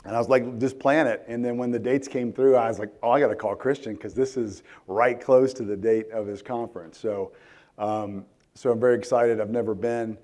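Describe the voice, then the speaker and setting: Hoarse middle-aged man giving a conference talk. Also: medium pitch, fairly even intonation, fairly quick, a little mellow. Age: 40s. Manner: casual, many ums and restarts. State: hoarse, voice worn out. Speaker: conference speaker. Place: conference hall.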